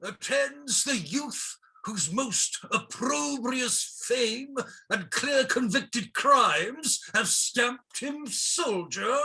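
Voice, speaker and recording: A performer acts out a pompous Justice from a mock-heroic stage play. The delivery is very slow and grandiose, in a bright but husky voice. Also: mid-range voice, expressive, full character voice, rough recording. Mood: solemn, grand. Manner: grandiose, declamatory. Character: pompous Justice, long-lost parent in a mock tragedy.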